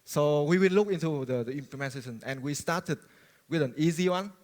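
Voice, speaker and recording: A man gives a friendly technical talk from a conference stage. His voice sits a little high, and he stops often on fillers and restarts. Long reverb from the large hall trails each phrase. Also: a little fast, a little mellow. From Vietnam. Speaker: conference speaker.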